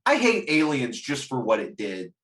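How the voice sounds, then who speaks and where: A man's voice: medium pitch, huge pitch swings, moderate pace, fairly bright tone. A man chatting casually as a panelist in a film discussion, ranting bluntly and swearing freely. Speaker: podcast panelist.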